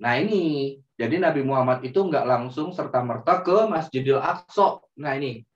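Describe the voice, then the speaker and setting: Gentle male storyteller, far off in an echoey room. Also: medium pitch, expressive. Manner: gentle, drawn-out, addresses kids as friends. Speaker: children's storyteller. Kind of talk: storytelling for children. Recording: roomy, distant, dull sound.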